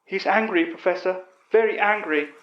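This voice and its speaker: A man's voice: sits high, moderate pace, voice pushed up, lightly acted. A man voicing a meek, apologetic hostage with a thin voice in a narrated horror story. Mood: nervous. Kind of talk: storytelling character voice. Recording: dull, roomy recording.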